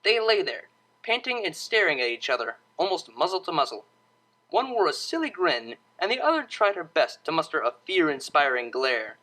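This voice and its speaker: Bright, animated story narrator. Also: medium pitch, moderate pace, low-quality recording. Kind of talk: dramatic fan fiction audiobook narration.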